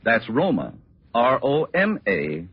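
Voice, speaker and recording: An American man announcing as a 1940s radio sponsor spokesman with a polished, measured sales pitch. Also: wildly swooping pitch, slightly rough, dry recording. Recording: tinny, narrow-band 1940s radio recording.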